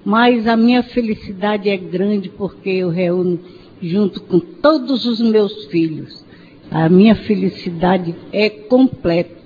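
A woman's voice: mid-range voice, takes it slowly. A 79-year-old Brazilian woman talking simply in short phrases as a radio guest. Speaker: student guest on radio show. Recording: dull audio with faint noise.

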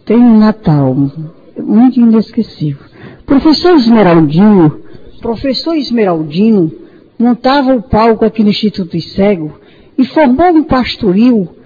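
A woman's voice: on the high side, sing-song, measured pace, husky. A fifty-year-old Brazilian woman speaking as a guest on a radio show. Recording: dull lo-fi audio.

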